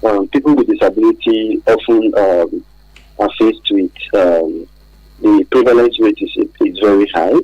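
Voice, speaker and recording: A man with a Nigerian accent and a husky voice explaining things haltingly as a guest in a radio interview, repeating phrases for emphasis. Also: on the low side, no room sound, dull audio. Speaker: studio guest on a radio programme.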